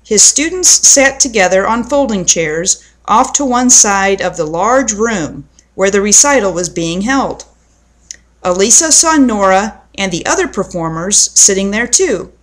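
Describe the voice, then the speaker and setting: A woman's voice: medium pitch, animated, a little slow. A woman storyteller reading a children's story aloud.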